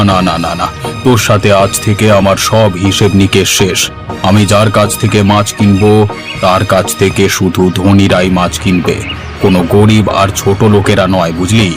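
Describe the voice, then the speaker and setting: Arrogant, low-voiced rich village bully acted by a man. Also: close to an everyday voice. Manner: contemptuous, threatening. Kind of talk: cartoon village drama acting.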